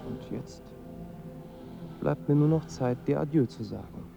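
A man's voice: medium pitch, measured pace, vocal fry, dark tone. An actor playing a dead father who appears to his son in a dream, probing and consoling, in a radio drama. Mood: consoling, relieved. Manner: insistent, probing, then consoling. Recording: dull sound with music behind.

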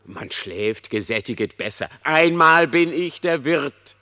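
An actor playing a sly, flattering, treacherous male fox in a verse radio play, with wheedling false courtesy. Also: a little high, measured pace, exaggerated voice. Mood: falsely friendly. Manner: wheedling, theatrical, feigned courtesy.

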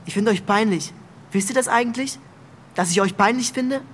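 A man's voice: high voice, normal speed, slight background noise. A man reading a first-person novel aloud as an audiobook, delivering dialogue and narration plainly. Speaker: audiobook reader.

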